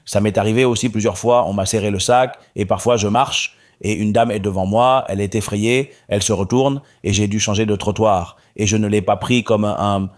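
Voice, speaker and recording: A man from Morocco, a guest in an interview, speaking calmly and often repeating his phrases. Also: fairly low pitch, moderate pace, hardly any pauses. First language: Arabic. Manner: calm, repeats himself. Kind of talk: interview conversation.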